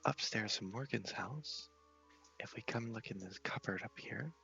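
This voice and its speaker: A man softly narrating his gameplay ASMR-style into a headset microphone in a casual, unhurried, rambling way, at times dropping to a whisper. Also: fairly low pitch, creaky, lo-fi sound, soft background music. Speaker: host of a gaming channel. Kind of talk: soft-spoken ASMR gameplay commentary.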